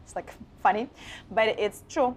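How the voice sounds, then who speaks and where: A woman's voice: on the high side, normal speed, a little hiss or hum. A woman from Ukraine with a Ukrainian accent, talking informally and at length as a guest in a casual interview. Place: her apartment.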